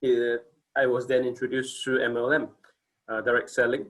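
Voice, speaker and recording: A man's voice: medium pitch, little pitch movement, moderate pace, fairly bright tone. A man in his forties from Singapore, giving a casual company presentation on a video call, hesitant with many fillers and restarts.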